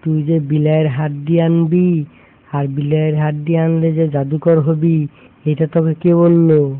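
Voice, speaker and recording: A man voicing a stern but kindly village schoolteacher in a cartoon, questioning in a measured way with a Bangladeshi accent. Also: a little high, flat delivery, a little hiss or hum, lightly acted. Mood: admonishing. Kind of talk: cartoon dialogue. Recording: muffled lo-fi audio, music behind.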